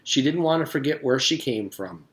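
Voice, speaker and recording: A man's voice: medium pitch, lively intonation, normal speed. A man narrating a children's story aloud, like a teacher reading to pupils.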